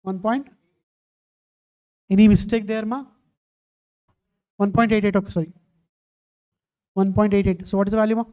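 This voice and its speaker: Mellow-voiced woman teaching. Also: medium pitch, steady tone, moderate pace, long pauses, low-quality recording. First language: Telugu. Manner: keeps quizzing students, calling them "ma". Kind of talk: online exam-prep lecture.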